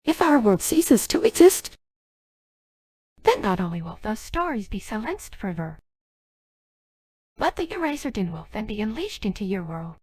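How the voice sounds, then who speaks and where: A woman's voice: mid-range voice, sing-song, normal speed, pauses often, a little mellow, husky, no room sound, studio quality. A synthetic female voice playing an earnest, formal young genie of a magic ring in a dubbed game cutscene. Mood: grave, pleading. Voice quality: synthetic text-to-speech voice. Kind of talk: dubbed game cutscene dialogue by text-to-speech.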